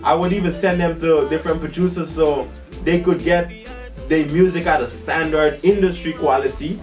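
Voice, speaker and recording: A man's voice: a little high, moderate pace, distant mic. A young man from Guyana with a Guyanese accent, talking casually and animatedly to camera as a music promoter in a dim studio. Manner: animated, gestures constantly with both hands. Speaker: music promoter and channel host.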